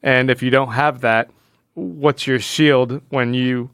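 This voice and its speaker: A man's voice: mid-range voice, fairly even intonation, takes it slowly, fairly bright tone, smooth, no echo. A man co-hosting a podcast, talking casually about comic books in informal, slangy phrasing. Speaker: podcast co-host. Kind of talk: casual comic book discussion.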